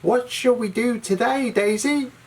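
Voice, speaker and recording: A storyteller voicing a gentle, wondering eight-year-old boy in a children's storybook, with music behind. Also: mid-range voice, measured pace, background noise, voice pushed up, close to an everyday voice. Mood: affectionate, curious.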